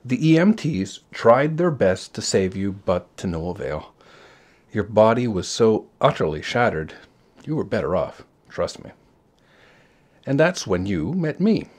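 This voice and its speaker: A man narrating a short story in a measured, expressive storytelling style. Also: on the low side.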